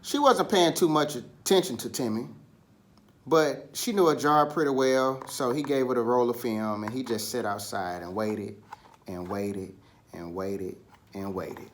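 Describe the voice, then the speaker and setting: A man telling a children's story in an animated style, with dramatic pauses and drawn-out, emphatic words. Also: medium pitch. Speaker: storybook reader.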